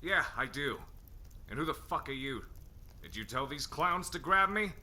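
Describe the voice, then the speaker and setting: Arrogant slave hunter voiced by a man, indignant and threatening, very forceful tone, animated swings, audio drama villain. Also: medium pitch, moderate pace. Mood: menacing, indignant. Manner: indignant, aggressive, haughty. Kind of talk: scripted roleplay acting.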